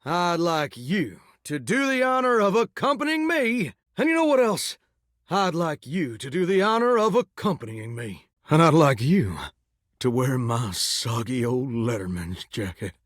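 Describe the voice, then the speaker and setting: An actor voicing a lovesick talking shower in a game, with a drawl and a folksy, earnest way of talking. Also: male voice, a little high, sing-song, unhurried, a bit bright, no echo, clean studio sound. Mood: hopeful. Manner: folksy, earnest and sweetly corny. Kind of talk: video game character dialogue.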